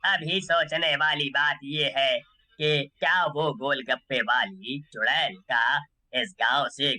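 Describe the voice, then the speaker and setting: A man voicing a pondering village man in a horror cartoon, deliberate and suspenseful. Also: medium pitch, pushed and bright.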